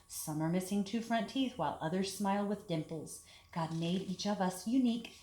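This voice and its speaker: Woman, children's storytime reader, mid-pitched, clear simple phrasing for young listeners, echoey room sound. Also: normal speed, mellow. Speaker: storytime teacher reading to children. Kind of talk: children's storytime, talking and reading aloud. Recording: echoey room with background hiss.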